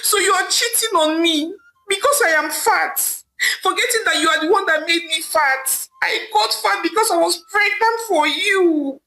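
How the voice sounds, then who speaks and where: A woman's voice: very high-pitched, moderate pace, sharp tone, soft background music, voice pushed up, heavily acted, rough recording. A woman voicing a heartbroken young wife who wails and pleads tearfully at her husband. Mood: crying.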